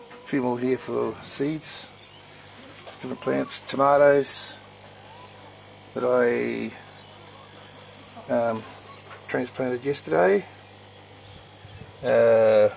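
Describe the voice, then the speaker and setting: A man giving a casual, halting tour of his backyard garden, filmed on a handheld phone outdoors. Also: mid-range voice, creaky, a little hiss or hum, rough recording. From Australia. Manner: slow, halting, with frequent ums and uhs. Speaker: gardening channel host.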